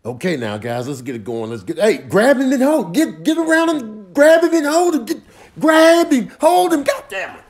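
A man's voice: very high-pitched, lively intonation, moderate pace, pitched above the performer's own voice. A man voicing an exasperated football coach in a comedy dub, barking repeated orders with frustrated swearing. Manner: repetitive, frustrated yelling with mild swearing.

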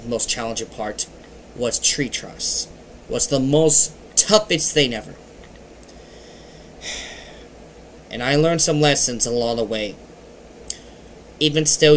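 A young man hosting his own channel, talking earnestly and haltingly straight to a webcam in a dim bedroom at home, his loudness rising and falling as he goes. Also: mid-range voice, a little hiss or hum. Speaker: channel host. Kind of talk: casual webcam vlog. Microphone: webcam at desk.

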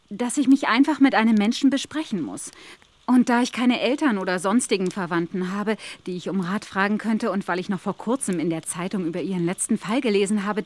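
An actress playing a polite, anxious young governess in a German audio drama, speaking in an earnest, deferential and neatly articulated way. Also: female voice, medium pitch, dry recording, natural voice.

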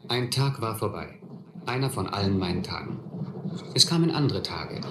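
A man's voice: lowish voice, expressive, normal speed, roomy sound, heavy background noise, lightly acted. An actor playing a grown man who wryly and reflectively narrates his vagabond youth in a German radio play. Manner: wry, reflective.

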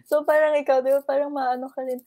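A woman's voice: high-pitched, level tone, very fast, mellow. A young Filipino woman who plays volleyball, talking over an earphone mic on a video call in a giggly, teasing way. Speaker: volleyball player guest.

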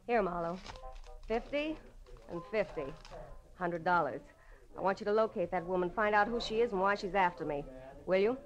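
A woman's voice: mid-range voice, moderate pace, long pauses, highs cut off, close to an everyday voice. An American actress playing a tough, shrewd, guarded businesswoman in a late-1940s radio noir drama. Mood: businesslike, guarded. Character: tough, shrewd woman silk merchant, a femme fatale client. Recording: vintage radio drama, faint music behind.

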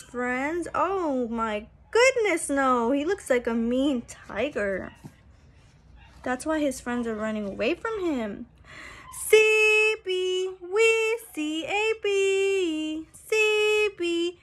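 Very high, bright-voiced young woman reading to kids. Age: young adult. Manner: animated, exaggerated, sing-song delivery with simple questions to children. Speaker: preschool teacher. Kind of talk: read-aloud story time for small children. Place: small room at home, indoors. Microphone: phone at arm's length.

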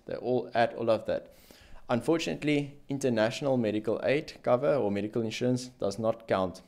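Young south african guy, financial planner, low-ish voice, walking you through stuff step by step on a studio mic. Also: darkish tone. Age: young adult. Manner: methodical, explains with hand gestures. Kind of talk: explanatory finance commentary. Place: small dim studio room with lamp. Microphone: boom-arm studio mic in frame.

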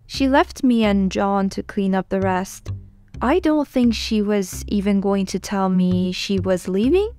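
A woman voicing a chatty young friend in a comic dub. She sits at a medium pitch and sounds caring and natural. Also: measured pace, mellow, natural voice. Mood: subdued. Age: young adult.

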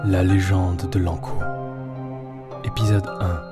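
A solemn male announcer played by an actor gives scripted audio drama announcements. His voice is low, set against very loud music. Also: level tone, slow pace.

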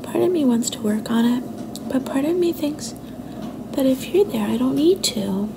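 Woman acting young girlfriend, affectionate, a bit possessive, soft whispery ASMR delivery, gravelly creak, noisy ambient recording. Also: sits high, expressive, moderate pace. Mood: thoughtful, affectionate. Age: young adult. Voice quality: soft, often breathy and whispery.

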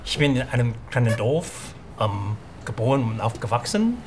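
Mann mit taiwanischem Akzent, zögernd. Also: male voice, medium pitch, expressive, normal speed, a crowd in the background. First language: Mandarin. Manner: hesitant, many fillers and restarts. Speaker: writer. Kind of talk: documentary interview. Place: small restaurant indoors.

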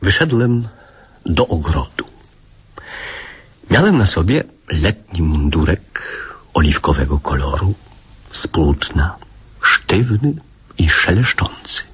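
A man's voice: sits low, very animated, slow pace, long pauses, fairly bright tone, breathy edge, exaggerated voice. A man playing a reflective narrator recalling his boyhood in a lyrical, literary radio drama. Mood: nostalgic.

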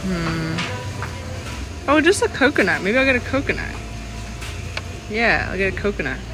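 A woman's voice: mid-range voice, big pitch swings, moderate pace, low-quality recording. A woman in her thirties with an American accent, chatting casually and in a rambling way for a vlog. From United States. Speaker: vlogger. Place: open-fronted street-side cafe. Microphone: handheld phone at arm's length. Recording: music behind, handheld outdoor vlog audio. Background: music.

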